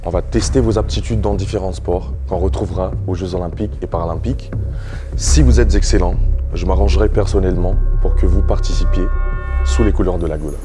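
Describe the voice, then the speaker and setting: An actor playing a stern official who questions two applicants formally and sceptically. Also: male voice, deep voice, brisk, music in the background, lightly acted.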